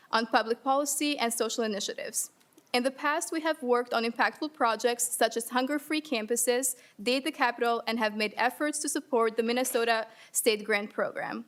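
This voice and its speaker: A young woman from Albania, a college senior and student leader, reading a brisk formal statement into a desk microphone at a hearing. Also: fairly high pitch. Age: young adult. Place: legislative hearing room.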